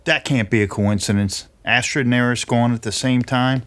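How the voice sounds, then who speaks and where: An actor playing a gruff, commanding male sergeant in an audio drama, blunt in manner. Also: medium pitch, fairly even intonation, moderate pace, fairly bright tone, natural voice. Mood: suspicious, commanding.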